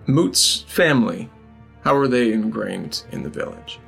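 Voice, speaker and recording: A man running a tabletop game, speaking slowly with a gravelly voice. His narration is unhurried and halting, with faint music underneath. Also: on the low side, animated, fairly bright tone. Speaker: game master.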